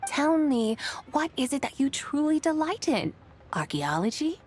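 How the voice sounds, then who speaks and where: A woman's voice: sits high, very animated, unhurried, a little mellow, slight background noise. A woman voicing a lofty ancient female immortal in a video game, speaking in grand, archaic, theatrical phrases as one amused by mortals. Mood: encouraging. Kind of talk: video game character acting.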